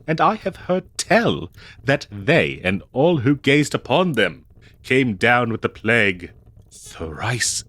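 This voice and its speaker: A man playing a prim, devoted, fretful 19th-century husband in a comedic audio roleplay, speaking in florid, halting, theatrical old-fashioned phrases. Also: medium pitch, a bit bright, husky, no room sound, full character voice. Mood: anxious, grave.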